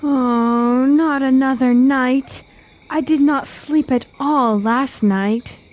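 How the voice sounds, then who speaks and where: A woman's voice: sits high, slow pace, darkish tone. An actress playing a reluctant, comfort-loving woman traveler in a fantasy radio drama, fretful and plaintive. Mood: weary, pleading.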